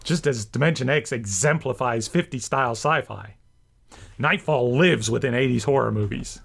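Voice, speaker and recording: A man's voice: mid-range voice, normal speed, vocal fry, fairly bright tone, husky. A man hosting a scripted video essay, narrating with enthusiasm and an emphatic, theatrical delivery. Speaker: host and writer.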